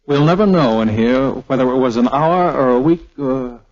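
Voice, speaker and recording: A man acts a young American army air officer in a vintage radio play, wisecracking yet tender and in love. His mid-pitched voice comes through a muffled, lo-fi 1940s recording. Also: normal speed, a little mellow, natural voice. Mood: earnest, tender.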